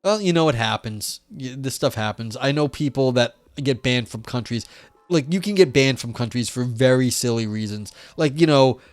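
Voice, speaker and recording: A man's voice: mid-range voice, expressive, normal speed, no echo. A man reading philosophy papers aloud on a live stream and chatting with viewers in a rambling, filler-heavy way, his voice wearing thin. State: losing his voice.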